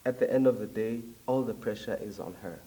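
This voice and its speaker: A young man from Zambia, talking as a guest in a casual interview, reflective and hesitant with frequent pauses. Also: lowish voice, warm tone, recorded from a distance, slight background noise, rough recording. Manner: reflective, hesitant with many ums.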